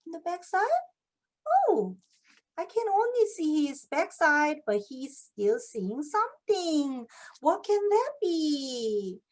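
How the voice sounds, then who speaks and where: Female, native Korean with Korean-accented English. High-pitched voice, expressive read-aloud teacher who prompts with questions. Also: takes it slowly. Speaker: English teacher, children's book reader. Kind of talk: picture book read-aloud demonstration with tips.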